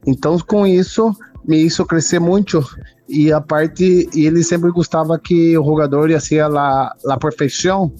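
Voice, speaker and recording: A man's voice: a little high, fairly even intonation, normal speed, mellow. A Brazilian man, a native Portuguese speaker, casually reminiscing as an interview guest and mixing two languages. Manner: mixes Portuguese and Spanish. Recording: dull lo-fi audio, music behind.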